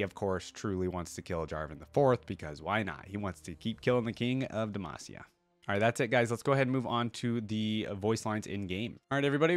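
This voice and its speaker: A man's voice: on the low side, no room sound. A man giving casual, informal reaction commentary as a video host, thinking aloud as he goes.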